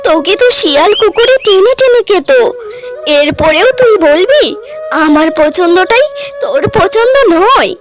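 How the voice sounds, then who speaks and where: A woman's voice: extremely high pitch, expressive, measured pace. A woman voicing a protective older family elder in a cartoon story, admonishing and motherly. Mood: reproachful.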